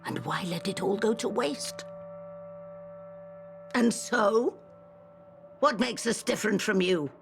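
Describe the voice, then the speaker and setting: A woman voice acting a defiant, menacing middle-aged peasant mother with a gravelly voice in a video game. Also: medium pitch, expressive, takes it slowly, pauses often, soft background music. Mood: defensive, defiant. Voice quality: gravelly, creaky. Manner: defiant, scolding, hissing emphasis. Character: menacing, sanctimony-hating murderous peasant mother.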